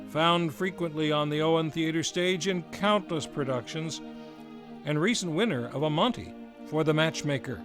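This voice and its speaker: A man's voice: a little high, a bit bright. A man hosting an old-time radio show, reading a scripted introduction in a grandiose, theatrical showman's manner. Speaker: radio show announcer and host.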